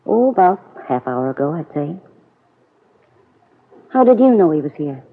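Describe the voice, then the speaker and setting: A woman's voice: deep voice, big pitch swings, normal speed, slightly rough, exaggerated voice. An actress playing a plain-spoken, kindly middle-aged farm woman in an old-time radio serial. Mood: calm, mildly puzzled. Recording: dull, muffled vintage radio recording.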